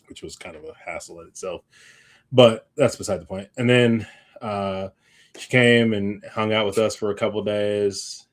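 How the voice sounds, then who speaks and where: A man with a fairly low voice talks casually as a podcast co-host. He feels his way through sentences in a loose, slangy manner, often circling back as he goes. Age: adult, parent of young child. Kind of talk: casual chat.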